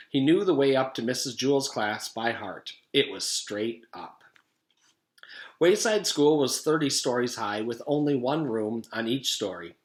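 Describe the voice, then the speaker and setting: A man narrating a children's storybook as a teacher reading aloud, with expressive, deliberate storytelling. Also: mid-range voice. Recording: echoey room.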